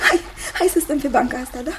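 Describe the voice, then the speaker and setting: Woman acting sweet, high-voiced young fiancée, radio play. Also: heavy background noise, natural voice. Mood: earnest, tender. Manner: tender, hesitant, repeats phrases, seeks agreement. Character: sweet, earnest, unhappy young fiancée breaking an engagement. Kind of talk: Romanian radio theatre acting.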